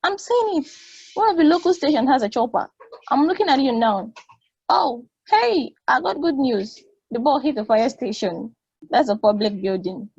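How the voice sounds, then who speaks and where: A woman playing an excitable friend giving guidance in a table read of a stage play. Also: high-pitched, moderate pace, husky, dry recording, muffled recording, full character voice. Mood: urgent, excited. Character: excitable woman guiding her friend over the radio link.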